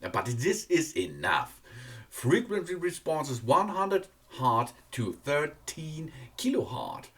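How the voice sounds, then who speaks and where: A man who is not a native English speaker gives a casual product review in an enthusiastic but halting way, repeating words. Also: mid-range voice. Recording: distant microphone.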